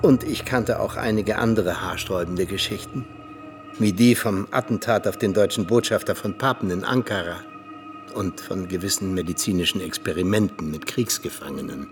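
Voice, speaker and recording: An actor playing an embittered, world-weary older Soviet ex-agent and mentor in a radio drama, speaking emphatically. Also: male voice, low-pitched, normal speed, music in the background. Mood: weary, defiant. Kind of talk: radio drama acting, monologue-like confession.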